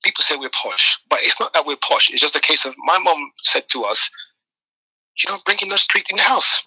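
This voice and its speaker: A man's voice: fairly high pitch, expressive, a little fast, bright, forceful tone, dry recording, poor audio. A man from Nigeria, a guest in an interview, telling his story animatedly and often repeating words while he gathers his thoughts. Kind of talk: conversational interview.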